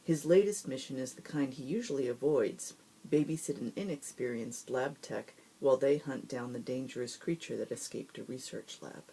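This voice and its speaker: A host reading fiction aloud and introducing it informally, with expressive storyteller delivery. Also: medium pitch, normal speed, gravelly, slight background noise, low-quality recording.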